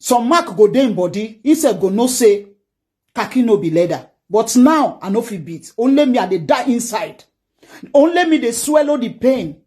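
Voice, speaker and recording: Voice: mid-range voice, big pitch swings, normal speed, darkish tone. A parent with a Nigerian accent, complaining in a social media clip, lamenting and exasperated. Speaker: parent living abroad.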